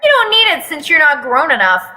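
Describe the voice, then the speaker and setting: A playful, clingy teenage boy from a fan fiction, as a woman acts him out. The voice is high and bright, with a cute teasing edge, in long reverb. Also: wildly swooping pitch, brisk, exaggerated voice. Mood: cheeky. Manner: cutesy, teasing.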